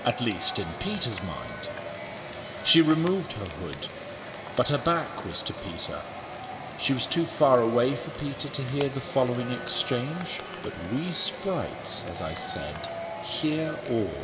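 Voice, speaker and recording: Slow, dramatic male narrator over music. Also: medium pitch, sing-song, rough recording.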